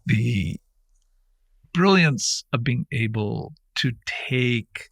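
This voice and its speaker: A man hosts a podcast and talks in long, earnest, rambling sentences that often stop and restart. His voice is husky with a gravelly edge. The sound is close and dry. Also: medium pitch, lively intonation, drawn-out words, fairly bright tone, no room sound. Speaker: podcast host, founder of the center. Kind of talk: warm conversational podcast interview.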